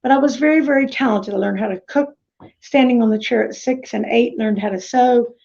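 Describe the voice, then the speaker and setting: Gravelly woman on a dull video call. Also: a little high, brisk, a little mellow. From United States (Florida). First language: Greek. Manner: chatty, rambling, self-interrupting. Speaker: summit speaker. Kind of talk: personal life story talk.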